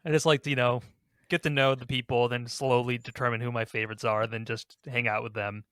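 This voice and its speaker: A man talks as a podcast host in an informal, self-deprecating chat about games. His pitch sits in the middle of the male range. The sound is dry, with no room to it. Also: level tone, a little fast, a bit bright, dry recording.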